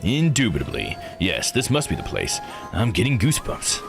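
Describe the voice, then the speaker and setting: Man playing theatrical male adventurer-author, visual novel, flowery and cheerful, lively swings, music underneath. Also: fairly low pitch, normal speed, gravelly, exaggerated voice. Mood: excited. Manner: grandiose, flowery, dramatic flair.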